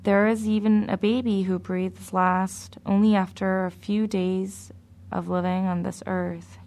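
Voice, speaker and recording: A woman preaching a sermon in a slow, measured, deliberate way. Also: medium pitch, level tone, darkish tone.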